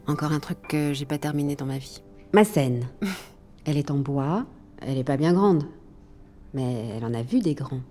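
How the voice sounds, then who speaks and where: An actress playing a brash, defiant jazz club owner in a naturalistic drama, talking colloquially with a sardonic edge. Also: female voice, deep voice, expressive, normal speed, long pauses, dark tone. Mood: musing, wry. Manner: colloquial, sardonic, musing aloud. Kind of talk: naturalistic drama monologue and dialogue.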